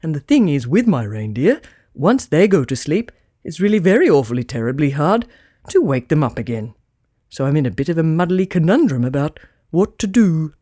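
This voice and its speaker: A woman's voice: low voice, very animated, moderate pace, mellow, dry recording, clean studio sound, voice pushed down. A woman voicing a kindly, fretful old male Santa in a children's storybook with fussy, old-fashioned phrasing. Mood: worried.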